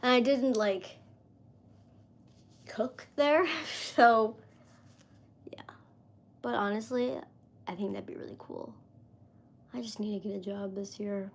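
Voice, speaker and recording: A woman's voice: medium pitch, slow pace, pauses often. A woman in her mid-thirties chatting casually on a live stream, rambling with lots of fillers as she talks to viewers. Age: 30s.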